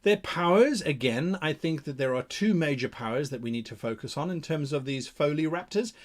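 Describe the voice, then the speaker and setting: A man with a fairly high, very animated voice presents game-mastering advice. He speaks theatrically, reasoning aloud and putting questions to himself before answering them. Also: normal speed. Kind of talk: explanatory monologue on monster creation.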